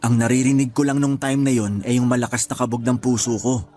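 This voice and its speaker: A man acting as a discouraged, bashful young boyfriend in a radio drama, with a hesitant, soft-hearted manner. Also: medium pitch, level tone, fast talker, soft background music, natural voice. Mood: dejected. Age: young adult. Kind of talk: Tagalog radio drama dialogue.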